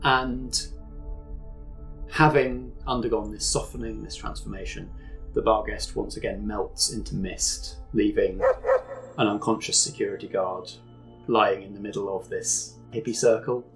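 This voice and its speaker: Low, gravelly man narrating a game. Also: fairly even intonation, measured pace, fairly bright tone, soft background music, rough recording. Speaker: game master. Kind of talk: narrating a tabletop role-playing game.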